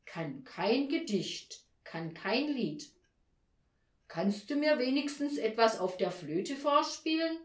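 A stern old Saint Nicholas as a woman storyteller acts him out interrogates like a schoolmaster. The delivery is forceful in manner and deliberate, with swinging intonation, in an echoey room. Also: sits high, animated, voice pushed up. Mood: stern, noting down.

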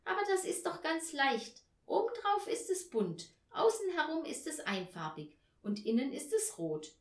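A woman voicing an excitable, secretive little girl, eager and exclaiming, in a children's story. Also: high voice, measured pace, pitched above the performer's own voice. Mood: earnest, impatient. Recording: roomy echo.

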